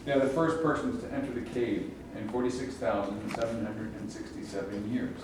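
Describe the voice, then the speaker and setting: Male stage-directions reader, scripted narration, mid-pitched, distant mic, noisy recording. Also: steady tone, fairly quick. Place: echoey room at a staged reading. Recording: very echoey room, distant mic, clear noise.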